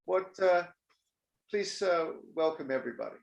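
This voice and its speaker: A man leading a Zen ordination ceremony, speaking gently and formally with a few halting fillers. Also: fairly high pitch, lively intonation, creaky, highs cut off. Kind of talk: ceremonial officiating speech. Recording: echoey and distant with faint noise.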